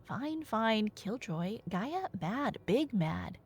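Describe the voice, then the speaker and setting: A woman's voice: on the high side, big pitch swings, very slow, husky. A woman performing an audio roleplay as a nerdy girlfriend, telling a story casually with playful asides to an unheard listener. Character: chatty nerdy girlfriend excitedly retelling Greek myths.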